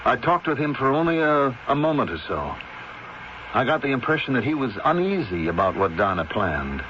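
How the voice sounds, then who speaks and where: A man's voice: mid-range voice, very animated, normal speed, close to an everyday voice. A man playing a bewildered, betrayed older husband in a 1970s radio drama. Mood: hurt, bewildered. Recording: muffled, noisy 1970s radio recording.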